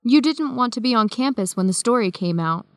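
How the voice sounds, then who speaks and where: A young woman in a radio drama, principled and direct. Her voice is a touch high and firm, captured in a dry studio. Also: expressive, a little fast, no echo. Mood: firm, indignant. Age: young adult. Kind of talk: educational radio drama acting.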